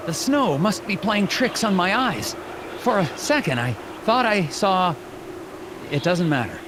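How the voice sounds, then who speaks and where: An actor playing an earnest, polite and slightly uneasy FBI agent in a video game. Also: male voice, sits high, very animated, a little slow, background noise. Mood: musing, determined. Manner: formal, earnest questioning. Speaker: game character, investigator. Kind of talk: scripted video game dialogue and narration.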